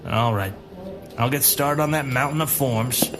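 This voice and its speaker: An actor playing a weary, wry 1940s police commissioner in an audio drama, dry and grumbling. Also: male voice, mid-range voice, a little slow, over music, close to an everyday voice. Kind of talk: naturalistic audio drama acting.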